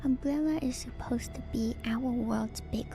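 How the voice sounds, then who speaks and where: A woman doing ASMR in a breathy whisper, reading lore aloud with hushed, enthusiastic casual commentary. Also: on the high side. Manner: hushed, slow, enthusiastic asides. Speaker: streamer and lore reader. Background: music.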